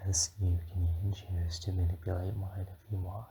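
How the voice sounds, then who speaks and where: A man voicing a gentle, patient dragon mentor in a slow, whispered and soft-spoken ASMR guided meditation. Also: low-pitched, flat delivery, vocal fry, slight background noise. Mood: soothing, instructive. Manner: slow, soothing, drifting between whisper and murmur.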